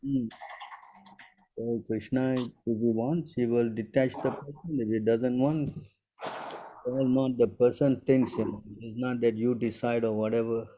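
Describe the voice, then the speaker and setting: A man in his sixties with a mellow, soft-edged voice at an everyday middle pitch. He gives informal spiritual guidance over an online call and keeps pausing to ask whether his listeners follow. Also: steady tone, low-quality recording. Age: 60s. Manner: keeps checking if listeners understand. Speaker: spiritual teacher answering questions. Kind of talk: informal devotional Q&A.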